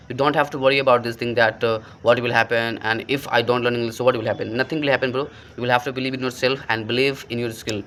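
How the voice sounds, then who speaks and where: A man's voice: medium pitch, fairly bright tone, slight background noise. A young man with an Indian accent, giving earnest, rambling motivational advice to viewers about learning English and calling them bro. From rural India. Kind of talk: motivational talk to camera.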